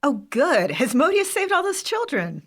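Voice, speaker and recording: A woman's voice: sits high, very animated, moderate pace. A woman playing an easygoing, teasing mother in an audio drama, with a light manner. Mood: mildly amused.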